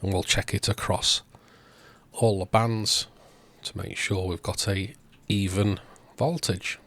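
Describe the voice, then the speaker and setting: A man hosting a repair video, talking through the work step by step in short, unhurried phrases. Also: sits low, steady tone, fairly bright tone. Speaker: host of electronics repair videos. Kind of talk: narrated repair walkthrough.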